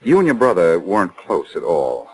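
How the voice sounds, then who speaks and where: An actor playing a wry, self-assured amateur sleuth with an American accent in 1940s radio drama style. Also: male voice, low voice, wildly swooping pitch, moderate pace, a little mellow, slightly rough, full character voice, poor audio. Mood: probing, considerate. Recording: remastered 1940s radio recording.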